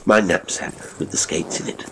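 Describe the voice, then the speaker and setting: A man narrating a classic horror story as a dramatic audiobook, grave, theatrical and suspenseful, with deliberate phrasing. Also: deep voice, noisy background.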